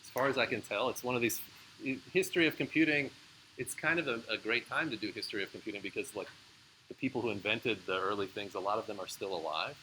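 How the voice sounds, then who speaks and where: A man's voice: lowish voice, fast talker, bright, forceful tone, a little hiss or hum, low-quality recording. A man giving a live university lecture, explaining in a casual way with frequent fillers and answering student questions. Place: lecture room.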